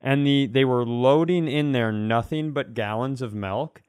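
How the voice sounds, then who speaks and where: A man's voice: mid-range voice, measured pace, a little mellow, clear, resonant tone, no room sound. Man in rambling, wry podcast chat, dry sound. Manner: rambling, tells anecdotes with wry speculation. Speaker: podcast co-host and comedian. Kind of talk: casual comedic chat.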